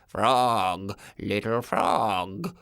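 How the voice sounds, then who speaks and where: A man voicing a frail, delirious old grandfather in an audiobook, mumbling and croaking dreamily. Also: fairly low pitch, very slow, fairly bright tone, no room sound, studio quality, pitched below the performer's own voice, heavily acted. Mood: delirious, weak. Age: older. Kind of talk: audiobook character voice.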